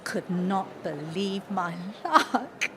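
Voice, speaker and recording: A woman's voice: medium pitch, big pitch swings, extremely slow, background noise. A woman playing a chatty, cheerful working-class Australian housewife in her thirties in an audio drama, with colloquial, wistful manner. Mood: fond, amused. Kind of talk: audio drama monologue and dialogue.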